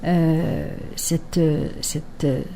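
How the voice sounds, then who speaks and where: Female poet guest, a native Kabyle speaker from Algeria, with a low, mellow voice and a thoughtful pace. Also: big pitch swings, slight background noise. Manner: thoughtful, pausing with fillers. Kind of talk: radio interview. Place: radio studio.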